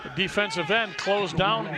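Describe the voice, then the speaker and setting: A man's voice: sits high, unhurried. A man giving folksy, conversational color commentary on a football game, often repeating his phrases. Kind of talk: conversational sports analysis. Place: sports broadcast at an outdoor stadium.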